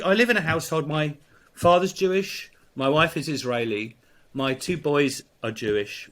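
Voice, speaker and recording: A middle-aged English man, a priest, telling a personal story in conversation, halting with many fillers and restarts. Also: on the high side, sharp tone, a little hiss or hum. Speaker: priest, guest.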